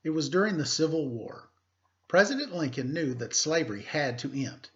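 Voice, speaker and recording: A man speaking as an elementary teacher, reading aloud to pupils with deliberate emphasis and informal asides. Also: fairly high pitch, lively intonation, fairly bright tone, highs cut off. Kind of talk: read-aloud lesson with casual asides.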